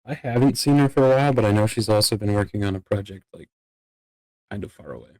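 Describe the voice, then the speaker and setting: A man acts out a supportive member of a fantasy court in a game roleplay. His voice is low, with a close, dry sound. He talks casually and tentatively, in an easygoing way. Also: fast, darkish tone, no echo, lightly acted, rough recording. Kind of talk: improvised Minecraft roleplay.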